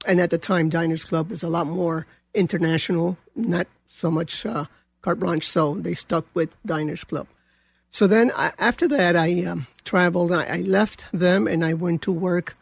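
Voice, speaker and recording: A woman's voice: lowish voice, creaky, breathy edge, no room sound. An older woman from Colombia with a Colombian Spanish accent, a guest telling her career story in a rambling way with many fillers. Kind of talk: casual interview.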